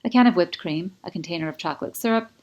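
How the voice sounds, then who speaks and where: A woman with a fairly low voice narrates an audiobook novel in the first person. Her storytelling is expressive and dramatic. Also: fast talker, highs cut off. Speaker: author reading own audiobook.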